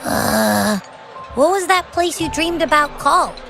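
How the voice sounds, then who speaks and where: A woman's voice: high voice, lively intonation, a little slow, gravelly, music in the background, exaggerated voice. A woman voicing a small, cute, boastful companion creature in a video game, cocky and always thinking of food. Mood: curious, boastful. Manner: bubbly, cocky, playful.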